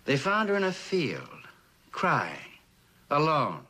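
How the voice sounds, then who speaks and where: A man playing an earnest, probing police lieutenant in a mid-century TV drama, speaking in a measured, questioning way. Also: on the high side, huge pitch swings, pushed and bright, full character voice.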